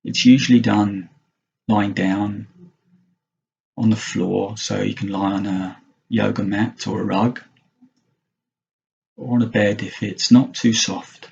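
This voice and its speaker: A man guides a mindfulness meditation in a low, creaky voice. He speaks gently, leaving long pauses between short phrases. The recording is dull and slightly muffled. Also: steady tone. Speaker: meditation teacher.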